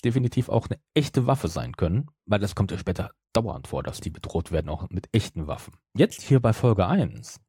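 A man's voice: on the low side, sing-song, moderate pace, few pauses, warm tone, husky, no room sound, clean studio sound. A man in casual podcast chat, musing hesitantly and hedging as a co-host.

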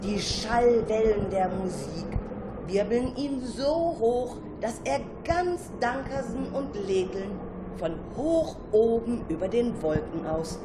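A woman narrating a children's story in an expressive, dramatic, suspenseful way. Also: fairly high pitch, takes it slowly, background noise. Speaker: storyteller, radio show narrator.

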